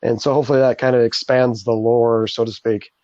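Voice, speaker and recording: A rambling, sleazy puppet co-host, voiced by a man, talking in a mid-pitched, halting way. The sound is muffled and dry, like a home stream mic. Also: level tone, darkish tone, no echo, close to an everyday voice. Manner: rambling, halting, lots of ums. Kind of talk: live puppet comedy chat.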